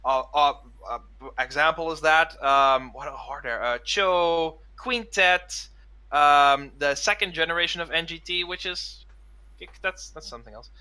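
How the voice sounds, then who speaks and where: A man with a very bright, fairly high voice talks in an upbeat, eager way, running through lists of things. He co-hosts a casual podcast over a video call. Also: a little slow, clean tone. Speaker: podcast co-host. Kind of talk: casual chat.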